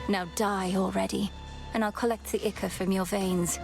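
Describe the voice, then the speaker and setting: An actress playing a young, vengeful princess of the underworld in a video game, formal, solemn and resolute. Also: female voice, mid-range voice, flat delivery, normal speed, creaky, soft background music, close to an everyday voice. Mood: cold resolve. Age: young adult. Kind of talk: theatrical video game heroine acting.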